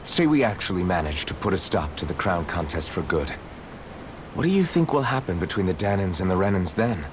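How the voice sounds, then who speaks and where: An actor playing a gentle, idealistic young swordsman in a video game, speaking with measured, sincere reassurance. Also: sits low, very animated, noisy background. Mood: earnest, hopeful. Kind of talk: video game cutscene dubbing.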